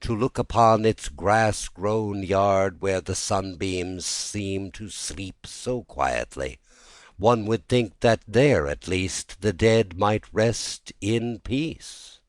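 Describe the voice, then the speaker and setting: A man with a low-pitched voice narrates a classic ghost story. His delivery is slow and measured, in the manner of a storyteller reading from a script. The recording is close and dry, with very little room sound. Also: dry recording. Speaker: audiobook narrator.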